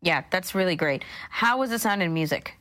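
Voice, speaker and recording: Woman co-host w a really low voice, just chatting casually. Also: animated, fairly quick, a bit bright. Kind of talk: casual discussion.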